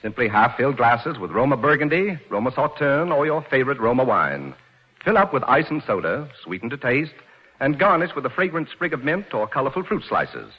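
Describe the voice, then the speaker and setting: A muffled old radio recording features an American male announcer. His husky voice swings in pitch as he delivers a scripted 1940s commercial in a polished, salesmanlike way. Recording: dull vintage radio recording.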